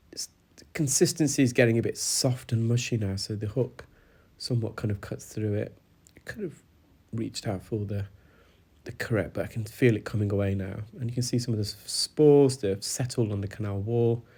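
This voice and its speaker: A man's voice: fairly low pitch, moderate pace, darkish tone, slightly rough. Congested British man explaining a clinical procedure. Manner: explanatory, hesitant with many fillers. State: congested from a cold. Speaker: audiologist. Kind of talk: narrated clinical demonstration.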